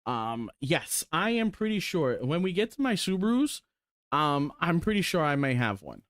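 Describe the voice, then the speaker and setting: Man, 40s, casual rambling streamer, excitable, salty language, dry close sound. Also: a little high, moderate pace, no echo. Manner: rambling, excited, swears casually, lots of ums. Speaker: sim racing streamer. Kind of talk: casual chat while showing cars.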